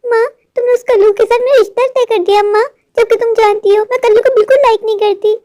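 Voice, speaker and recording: Someone doing a super high whiny cartoon girl, vain, pleading, talks fast. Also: fairly even intonation, dark tone, dry recording, clean studio sound. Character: spoiled, vain young daughter.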